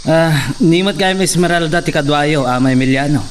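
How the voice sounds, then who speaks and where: A man's voice: on the high side, a little fast, a little hiss or hum, natural voice. A man playing a deferential younger farm workmate in a radio drama. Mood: curious.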